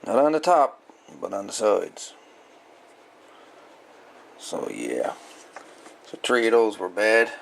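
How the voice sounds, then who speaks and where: A man giving a casual, unhurried, folksy hands-on repair narration, thinking aloud as he goes. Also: on the low side, huge pitch swings, vocal fry, slight background noise. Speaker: hobbyist radio repairer presenting a video.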